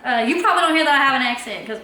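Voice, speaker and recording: A woman's voice: high-pitched, lively intonation, rapid-fire, pushed and bright. A young woman, telling a personal story in a casual, chatty way and acting out her own past lines. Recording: echoey room with faint background noise.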